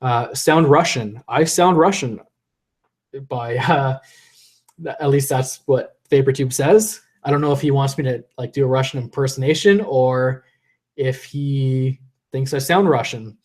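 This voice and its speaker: A man's voice: medium pitch, a little slow, a little mellow. A man hosting a casual live stream from home, rambling loosely and swearing as he reads chat, a little tipsy from drinking, into a desk condenser mic. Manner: rambling, loose and profane, reading chat aloud. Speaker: livestream host. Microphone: desk USB condenser mic.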